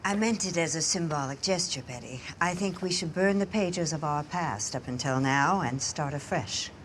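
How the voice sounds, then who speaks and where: A woman's voice: low-pitched, normal speed, slight background noise, natural voice. A middle-aged woman playing a grand, vain film actress in a period TV drama, speaking with mannered, precise, pointed courtesy. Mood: icily gracious. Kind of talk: television period drama acting.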